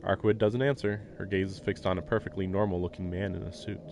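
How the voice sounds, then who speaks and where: A man's voice: deep voice, steady tone, fast talker, creaky, darkish tone, a little hiss or hum. A man streaming a visual novel, reading the text aloud and adding casual commentary. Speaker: game streamer. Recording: game music behind the voice.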